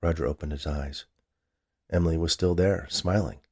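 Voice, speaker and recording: A man's voice: extremely low pitch, fairly even intonation, normal speed, darkish tone, husky. A man reading a short story aloud as a scripted narration. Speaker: writer reading his own short story.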